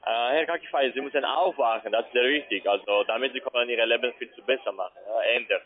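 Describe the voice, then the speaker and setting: A man's voice: mid-range voice, fast talker. A man with a foreign accent in German, a non-native speaker making a scripted call-centre sales call. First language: not German. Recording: tinny narrowband phone line.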